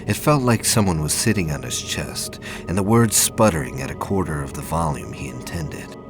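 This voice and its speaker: A man delivers dramatic, scripted audio play narration with intense suspense. His voice is extremely deep with heavy fry, moving through a lively range over a music bed. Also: moderate pace.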